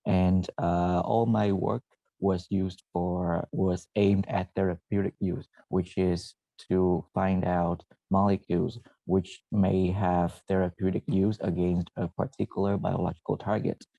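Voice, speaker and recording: Man from Vietnam, very low gravelly voice, mellow dark tone, presentation, halting with fillers. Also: flat delivery, no room sound, lo-fi sound. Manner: halting, frequent "uh" and self-corrections. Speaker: former PhD student presenting. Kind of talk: presentation to students.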